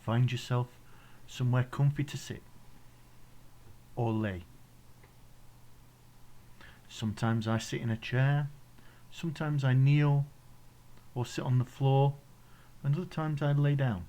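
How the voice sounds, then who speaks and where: A man with an English accent leading a guided meditation in a gentle, soothing, instructional way, leaving pauses between phrases. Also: mid-range voice, fairly even intonation. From England.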